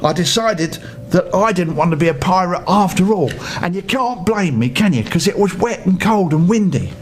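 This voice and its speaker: A man's voice: lively intonation, normal speed. An older man reading a children's story theatrically, with playful asides to the listener. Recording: noisy home recording with rumble.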